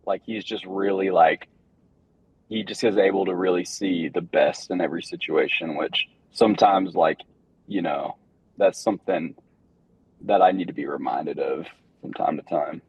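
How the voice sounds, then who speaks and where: A man's voice: low-pitched, fairly even intonation, normal speed, slight background noise. A young man, a band member, chatting casually in an interview, rambling and laid-back with lots of fillers. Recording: dull lo-fi recording.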